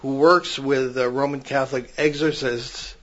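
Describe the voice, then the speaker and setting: A man's voice: medium pitch, steady tone, normal speed, fairly bright tone, highs cut off. An older man co-hosting a paranormal podcast, telling long stories with frequent restarts in casual chat. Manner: rambling, many restarts, storytelling.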